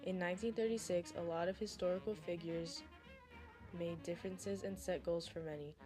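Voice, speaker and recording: A woman's voice: on the low side, fairly even intonation, moderate pace. A young woman presenting a sports segment as scripted narration, with music behind her.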